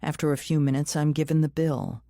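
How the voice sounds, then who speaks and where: A woman's voice: low-pitched, level tone, a little fast, dark tone, dry recording, clean studio sound. A woman reading scripted first-person thriller narration as an audiobook narrator.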